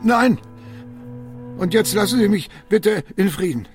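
Radio play role: a frightened, agitated male patient, high-pitched, halting and repetitive. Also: lively intonation, darkish tone, faint music behind. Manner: stammering, nervous repetitions. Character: agitated, frightened man recovering from a suicide attempt. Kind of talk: radio drama acting.

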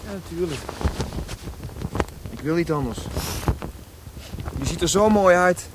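An actor playing an idealistic, admiring teenage rebel in a radio drama, speaking tenderly and earnestly. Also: male voice, on the high side, lively intonation, unhurried, background noise. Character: admiring, idealistic seventeen-year-old rebel youth.

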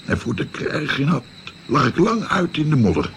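An actor playing an old grandfather who narrates his youthful journey in a radio drama, reflective and unhurried. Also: a little high, huge pitch swings, a little hiss or hum. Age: older.

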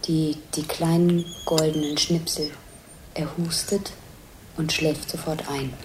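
A woman playing a curious, bemused onlooker who describes a film scene in an audio drama, halting and savouring the details. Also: sits low, flat delivery, background noise. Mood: bemused, suggestive. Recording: music behind the voice.

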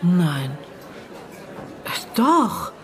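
Radio drama: middle-aged aunt of a dead girl, lowish female voice, terse, halting, guarded, bitter edge. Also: big pitch swings, fairly bright tone, heavily acted. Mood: searching memory. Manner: terse, halting, broken phrases with thoughtful pauses. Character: murdered girl's aunt, bitter, guarded, searching her memory. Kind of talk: naturalistic German radio drama acting.

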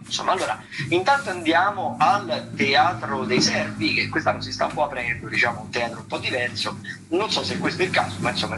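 Hesitant Italian radio critic, noisy sound. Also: male voice, mid-range voice. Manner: hesitant, digressive, wry. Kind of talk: informal spoken theatre listings commentary.

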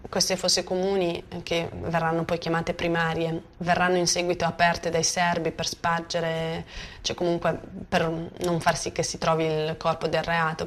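Low, even-voiced woman explaining hesitantly. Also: a little hiss or hum. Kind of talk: spontaneous explanatory testimony.

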